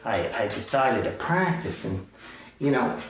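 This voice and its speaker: A man's voice: medium pitch, expressive, a little slow, distant mic. A man giving a rambling, casual comic monologue in a folksy, hesitant, mock self-important way. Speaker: author hosting his own comedic podcast. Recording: echoey room, off mic, music.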